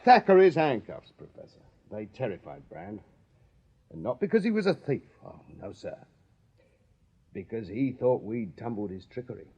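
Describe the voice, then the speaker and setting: Dry English detective sergeant, muffled. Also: male voice, medium pitch, big pitch swings, moderate pace, warm tone, breathy edge. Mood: knowing, accusing. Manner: clipped, plain-spoken, drily deferential with police slang. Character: Victorian police detective sergeant, shrewd, dryly insolent. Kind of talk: radio drama acting. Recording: slightly dull radio recording.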